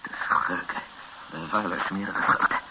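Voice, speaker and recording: A man's voice: sits low, fairly even intonation, moderate pace, close to an everyday voice. An actor in a radio play as a distressed, bitter male captive. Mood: bitter outrage. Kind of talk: radio drama acting. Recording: tinny old radio recording, faint noise.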